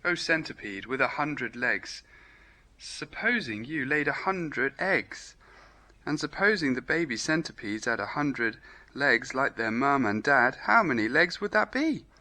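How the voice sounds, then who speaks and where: Storybook rabbit, male, played by a man: dreamy, earnest poet, bright tone, lilting measured verse. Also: mid-range voice, big pitch swings, exaggerated voice. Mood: earnest, curious. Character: earnest, dreamy male rabbit who recites rhyming poems.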